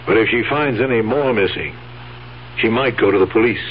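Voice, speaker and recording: An actor playing a scheming, placating, uneasy nephew in a 1970s radio drama. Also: male voice, fairly low pitch, brisk, close to an everyday voice. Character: scheming nephew humoring his confused old aunt. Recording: tinny narrow-band 1970s radio recording, hiss.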